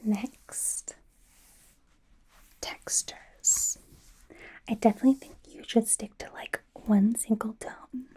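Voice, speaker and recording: A woman in an ASMR roleplay playing a doting, bossy young pop idol turned fashion stylist, in a soft breathy whisper with a playful, halting delivery. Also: fairly high pitch, steady tone, full character voice. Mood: doting, reassuring. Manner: playful, gushing, gently insistent, halting. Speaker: ASMR performer. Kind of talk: ASMR roleplay, personal attention.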